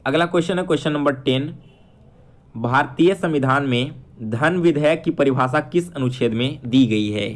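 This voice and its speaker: A man's voice: a little high, moderate pace. A man teaching exam questions, explaining answers step by step and checking in with viewers. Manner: instructional, addresses viewers directly with frequent check-ins.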